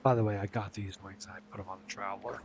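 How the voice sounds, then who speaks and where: Low-voiced man playing through a game. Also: steady tone, fast talker, noisy background. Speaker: gameplay streamer. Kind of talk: casual game playthrough, reading dialogue aloud. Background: game music.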